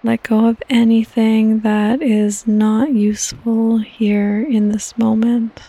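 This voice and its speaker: A woman hosting a guided sleep meditation in a soft, breathy, partly whispered voice, with calm, gentle instructions and long pauses. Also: a little high. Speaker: podcast host and meditation guide.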